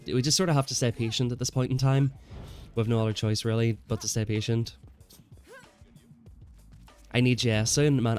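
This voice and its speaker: A man's voice: medium pitch, a little mellow, clear, resonant tone. A young man livestreaming a game, chatting in a laid-back way with viewers, stuffy from a cold. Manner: chatty, laid-back, answers chat, says "man" and "bro" often. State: congested from a cold. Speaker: gaming livestreamer. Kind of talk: casual live stream chat while playing.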